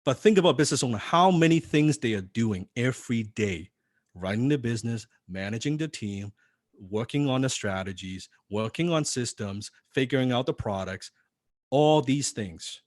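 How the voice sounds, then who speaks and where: A man's voice: mid-range voice, expressive, normal speed, no echo, studio quality. A man teaching copywriting in a live seminar, non-native in English, firing rhetorical questions at the audience. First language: not English.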